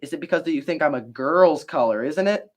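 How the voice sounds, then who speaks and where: A demanding storybook crayon, played by a man, scolds with sassy emphasis. The pitch swings widely as it airs its grievances. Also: animated, a little fast. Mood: sassy indignant. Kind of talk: children's picture book read-aloud.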